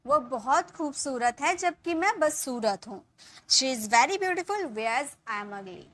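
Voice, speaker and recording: A woman in her thirties teaching spoken English, explaining in Hindi and saying the English sentences slowly, word by word, and often checking in with her viewers. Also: high voice, lively intonation, fairly bright tone. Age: 30s. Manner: addresses viewers as guys, checks in with "ठीक है".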